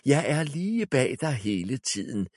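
A sly woman servant who flatters her master and mocks him behind his back, put on by a man reading a storybook. The voice is pushed well above his natural range and sounds fawning and sly. The recording is dry and close. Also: on the high side, dry recording, pitched above the performer's own voice. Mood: scornful whisper. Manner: fawning, then whispering scorn.

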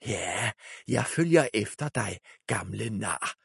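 A man's voice: fairly high pitch, lively intonation, dry recording, clean studio sound, voice pushed up. A man voicing a sly, scornful servant woman who fawns on her master. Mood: scornful whisper. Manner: fawning, then whispering scorn.

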